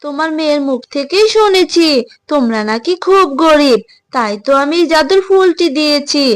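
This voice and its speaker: A woman voicing a kindly magical talking tree in a cartoon, gentle and eager to help. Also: high voice, expressive, normal speed. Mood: earnest, reassuring. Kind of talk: cartoon voice acting.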